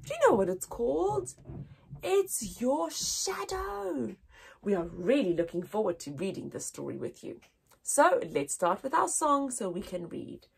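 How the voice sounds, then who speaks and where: Slow, high, very animated woman storyteller. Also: gravelly, husky. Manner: expressive, exaggerated wonder, sing-song storytelling for toddlers. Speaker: children's story-time teacher and host. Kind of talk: animated read-aloud for young children.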